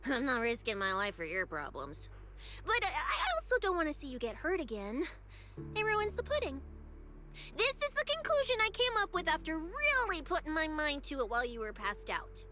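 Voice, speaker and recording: A woman's voice: high voice, huge pitch swings, normal speed, pushed and bright, soft background music, exaggerated voice. A woman voicing a cocky, teasing young snake-woman case specialist who talks in a playful, bossy way, in a game dub.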